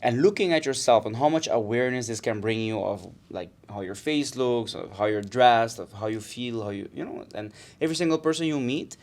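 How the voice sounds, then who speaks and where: A man from Aruba who co-hosts a podcast, speaking in a thoughtful, soft-spoken way and searching for his words. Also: medium pitch, brisk, few pauses. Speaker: podcast co-host. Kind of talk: reflective casual chat.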